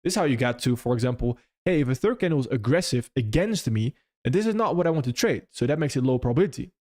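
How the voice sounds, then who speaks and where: Young non-native English-speaking man, live-stream host answering chat, loose and rambling, mid-pitched voice. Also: animated, quick pace, darkish tone. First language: not English. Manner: loose and conversational, frequent restarts and "if that makes sense".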